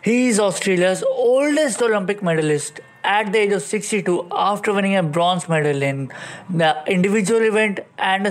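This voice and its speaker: A man reporting a sports news segment in a read-out bulletin style. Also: high-pitched.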